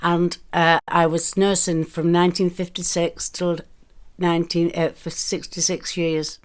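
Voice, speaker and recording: A woman's voice: fairly low pitch, flat delivery, unhurried. A woman in her eighties, a retired nurse, answering interview questions in a hesitant, reminiscing way. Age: 80s.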